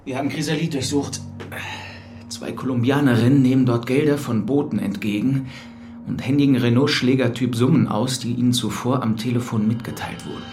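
An actor playing an insistent, businesslike police detective in a German-language radio drama. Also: male voice, mid-range voice, a little fast, darkish tone, soft background music, natural voice.

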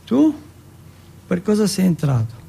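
A man's voice: sits high, wildly swooping pitch, unhurried, creaky, dark tone, slightly rough, exaggerated voice. A man playing a big young jailhouse bully in a stage monologue, mocking and sadistic, coaxing and ordering with coarse, repeated commands. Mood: mocking, interrogating. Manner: taunting, coaxing, repetitive commands, coarse swearing.

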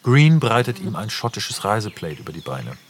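A man's voice: on the low side, big pitch swings, moderate pace, a little hiss or hum. A man narrating a scripted radio drama, reading stage directions in a precise, matter-of-fact way.

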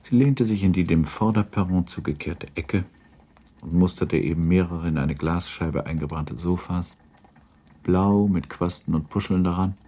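A man narrating a literary radio drama in a measured, formal storytelling manner. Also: deep voice, mellow.

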